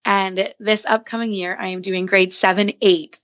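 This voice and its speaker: Young woman teacher, giggly and excited, dry muffled call audio. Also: medium pitch, normal speed, no room sound. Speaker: teacher, podcast guest. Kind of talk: casual interview chat.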